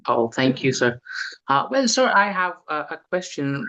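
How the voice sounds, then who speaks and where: A man's voice: fairly high pitch, very animated, measured pace, pushed and bright, highs cut off. A man attending a webinar, politely asking a question with many hesitations and fillers. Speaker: webinar participant.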